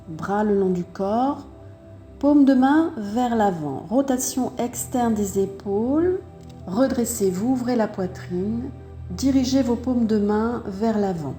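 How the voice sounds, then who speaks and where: A woman teaching yoga, guiding breath and posture step by step in a calm, measured way with pauses. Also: medium pitch, reverberant. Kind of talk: guided yoga and breathing instruction.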